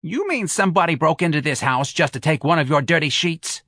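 A man voicing a loud, blunt, working-class middle-aged mother who scolds her grown son in a shrill voice. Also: lively intonation, brisk, dry recording, clean studio sound, heavily acted. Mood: scolding.

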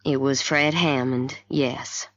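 A woman voicing a calm, frank, protective male doctor in a story. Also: a bass voice, slow pace, muffled recording, exaggerated voice. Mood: grave, gentle. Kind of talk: dramatised story dialogue.

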